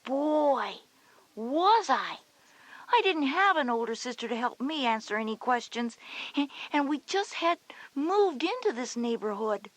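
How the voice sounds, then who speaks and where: High-voiced chatty big sister. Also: female voice, very animated, unhurried, a bit bright, dry recording, full character voice. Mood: reminiscing. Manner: explanatory, reassuring, big-sisterly. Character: confident chatty older sister, a schoolgirl. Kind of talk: scripted children's educational audio drama.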